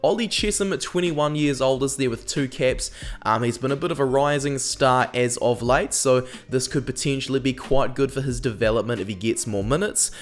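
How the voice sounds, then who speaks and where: A young man with a New Zealand accent talks through a rugby squad as host, chatty and opinionated, with plenty of "um" fillers. He is still getting over a cold, and his pitch sits in the middle range for a man. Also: moderate pace. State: recovering from a cold. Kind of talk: casual scripted commentary.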